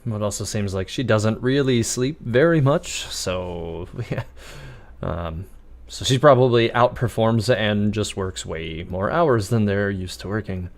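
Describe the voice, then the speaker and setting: A man's voice: fairly low pitch, measured pace. A man giving casual live stream commentary on a game, chatty and rambling.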